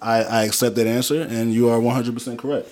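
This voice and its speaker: Brief: young male podcast co-host, easygoing, cracks jokes, swears a lot, mid-pitched. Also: fairly even intonation, fast, darkish tone. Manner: loose, joking, swears freely, playful put-on voices. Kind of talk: casual chat.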